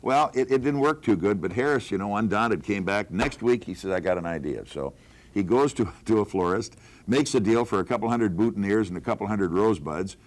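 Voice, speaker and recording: Older male, low-pitched. Veteran broadcaster telling animated, folksy recollections in conversation. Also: brisk. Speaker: veteran radio personality. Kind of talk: reminiscing in a group interview.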